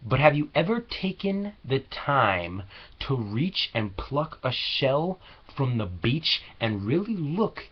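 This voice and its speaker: A man reciting slam poetry with earnest, deliberate, dramatic phrasing, on a low-quality home recording. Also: big pitch swings, husky, highs cut off. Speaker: slam poet.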